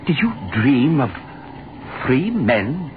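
An actor playing an awestruck, anxious traveler in melodramatic 1930s radio style. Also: male voice, mid-range voice, very animated, takes it slowly. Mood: bewildered. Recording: muffled, very noisy 1930s radio recording.

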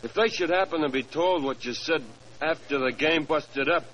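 An actor playing a sly, servile race-track mechanic who talks in uneducated slang, in 1940s radio drama style. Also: male voice, on the high side, moderate pace, fairly bright tone, natural voice, poor audio. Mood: sly, insinuating. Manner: uneducated slangy talk with double negatives.

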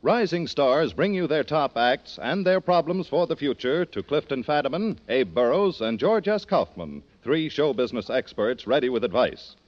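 A man's voice: medium pitch, very animated, normal speed, few pauses. A man reading scripted announcements as a 1940s network radio announcer. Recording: dull old radio recording.

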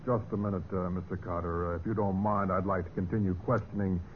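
An actor playing a plodding police investigator in a golden-age radio drama, halting, deliberate and politely firm. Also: male voice, sits low, fairly even intonation, narrow, tinny audio, lightly acted. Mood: businesslike. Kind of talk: 1940s-50s radio drama acting. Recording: band-limited vintage radio, faint noise.

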